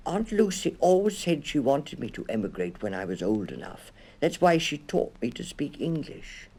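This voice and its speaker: A little storybook bear, played by a man, speaks in a small, clear voice lifted above the narration. He is young, very polite and earnest, with careful, proud dignity. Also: a little high, big pitch swings, normal speed, darkish tone, voice pushed up. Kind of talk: children's audiobook character voice.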